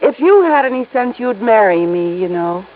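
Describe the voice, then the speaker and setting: A woman's voice: fairly high pitch, animated, moderate pace, narrow, tinny audio, close to an everyday voice. An American actress playing a teasing, affectionate girlfriend in a 1950s radio drama, with a coy, playful manner. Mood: teasing, wistful. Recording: thin, hissy old-time radio recording.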